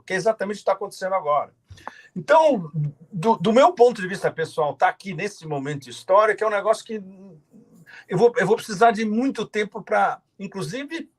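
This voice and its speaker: A man giving an interview over a video call. He talks passionately, with emphatic stresses and frequent halting restarts. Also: high-pitched. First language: not Portuguese; says he no longer speaks it. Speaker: guest commentator.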